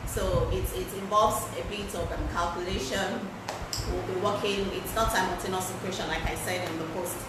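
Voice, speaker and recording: A woman teaching a pattern-drafting class over a livestream, talking loudly and repeating key words for emphasis. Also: mid-range voice. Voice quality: loud voice. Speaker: fashion pattern-drafting instructor. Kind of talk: live-streamed class, casual instruction. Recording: echoey distant livestream with background noise.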